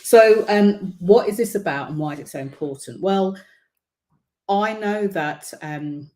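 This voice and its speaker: A woman hosting a livestream as a visibility coach, speaking casually to viewers with a croaky throat and many ums. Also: medium pitch, a little slow, mellow. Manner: chatty, many ums, encouraging. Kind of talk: casual livestream talk to camera.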